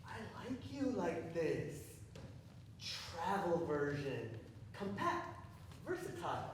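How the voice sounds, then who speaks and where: A man's voice: on the high side, sing-song, slow pace, slight background noise. A man playing a rowdy, flirtatious, dazed cowboy on stage, loose and slangy. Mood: woozy, flirtatious. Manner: loose, slangy, mixing in Spanish. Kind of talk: live stage acting. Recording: very echoey theatre, distant mic.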